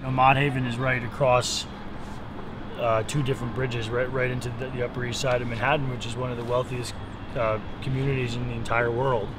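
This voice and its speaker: Man interviewed over loud outdoor noise. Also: mid-range voice, flat delivery, a little fast. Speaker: organizer, former middle school teacher. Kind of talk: documentary interview.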